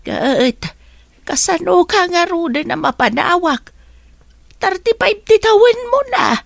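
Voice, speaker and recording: A woman's voice: extremely high pitch, expressive, slow, vocal fry, no echo, studio quality, exaggerated voice. A woman with an Ilocano accent acting a worried, nagging mother of a grown daughter in a radio drama.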